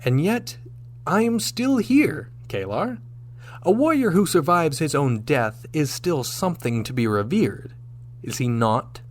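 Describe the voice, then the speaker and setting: A man's voice: fairly high pitch, sing-song, measured pace. A man playing a confident, dashing starship captain, commanding and wry, in a sci-fi radio drama. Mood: wry, challenging.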